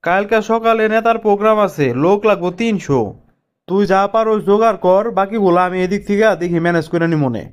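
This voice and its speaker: A man's voice: high voice, fast, clean tone, close to an everyday voice. A man voicing a scheming, pushy local political organizer in a cartoon, in colloquial Bangladeshi speech. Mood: bossy. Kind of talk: cartoon dialogue.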